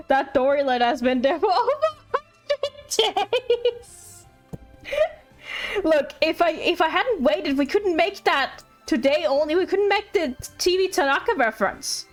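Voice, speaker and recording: A woman's voice: high-pitched, lively intonation, unhurried, long pauses, soft background music. A woman livestreaming a game, chatting casually and tripping over words as she reads aloud. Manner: stumbles over words while reading aloud. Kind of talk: casual livestream chat mixed with reading game text aloud.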